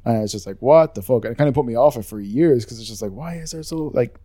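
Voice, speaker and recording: An Irish man rambles through casual podcast talk, cursing freely and laughing at his own opinions. His voice is mellow and relaxed. The sound is dry and close to the mic. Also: medium pitch, quick pace, no room sound. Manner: rambling, swears freely, self-deprecating. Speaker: podcast co-host. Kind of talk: casual chat.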